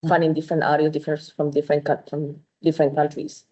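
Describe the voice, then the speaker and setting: A woman from Ecuador speaks English with an Ecuadorian Spanish accent, in a husky, mellow voice. She talks haltingly, searching for words, and the audio sounds dull. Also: sits low, completely flat delivery.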